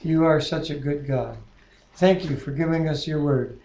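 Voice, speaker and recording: A man's voice: fairly high pitch, normal speed, mellow. A man in his sixties with a North American accent, reading scripture and praying aloud in a living room. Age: 60s. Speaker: co-host of a home Bible reading. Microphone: webcam at about arm's length. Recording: echoey living room webcam audio.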